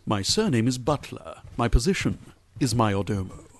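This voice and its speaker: An actor playing a courteous, well-travelled head butler of an English estate, formal and deferential, in a radio comedy-mystery. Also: male voice, mid-range voice, expressive, normal speed, lightly acted.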